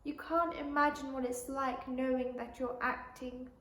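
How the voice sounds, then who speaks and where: A woman playing a weary, wounded young actress in a halting, drifting stage monologue. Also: sits high, flat delivery, a bit bright, reverberant. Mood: bitter, ashamed. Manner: halting, repeating, drifting between thoughts. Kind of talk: stage monologue, classic tragic drama.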